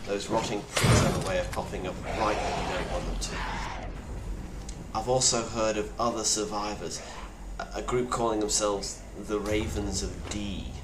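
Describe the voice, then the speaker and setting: Male actor as weary apocalypse survivor, lowish voice, blunt and grave video testimony, noisy roomy sound. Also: fairly even intonation, normal speed, close to an everyday voice. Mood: earnest, advising. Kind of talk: in-character monologue, found-footage video diary. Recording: roomy echo with clear background noise.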